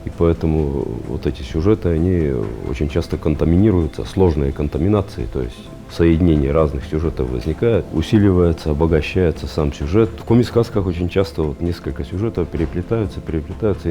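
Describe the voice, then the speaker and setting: A man's voice: very deep voice, fairly quick, hardly any pauses, warm tone, soft background music. A man speaking as an expert in an interview, explaining things in unscripted talk.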